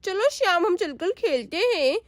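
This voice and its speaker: एक महिला एक छोटी गाँव की बच्ची की आवाज़ निकालती है, ऊँची और रुआँसी, डर के बावजूद हिम्मत रखती।. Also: female voice, very animated, unhurried, no room sound, exaggerated voice. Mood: cheerful. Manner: high, tearful, pleading. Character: little village girl, frightened but brave. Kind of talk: storytelling voice acting.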